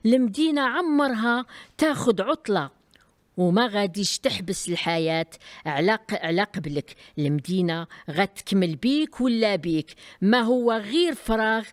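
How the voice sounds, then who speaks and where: A woman reading a poem aloud on a theatre stage, in a rapid, intense recitation. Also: medium pitch, lively intonation, no room sound, clean studio sound. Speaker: guest reader. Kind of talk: poetry reading.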